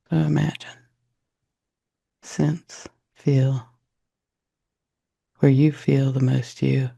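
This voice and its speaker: A man leading a guided meditation in a soft, unhurried, reflective way, with long pauses and a gravelly, husky voice. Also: medium pitch.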